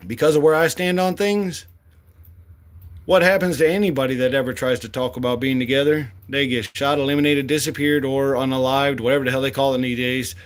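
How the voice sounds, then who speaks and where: A man in his late forties giving a blunt, impassioned monologue as a candidate, swearing freely and speaking with fire. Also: mid-range voice. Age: 40s. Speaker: political candidate.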